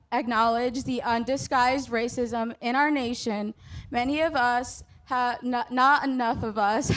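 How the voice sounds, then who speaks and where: A young woman graduating from high school, delivering an earnest, prepared commencement speech at a measured pace. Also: clear, resonant tone. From United States. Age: young adult. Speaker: graduating student speaker.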